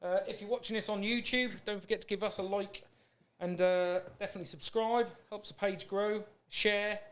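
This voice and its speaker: A man's voice: high-pitched, fairly even intonation, normal speed, fairly bright tone, smooth. A British man hosting a podcast in a casual, rambling, anecdotal way. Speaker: podcast host. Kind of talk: casual chat.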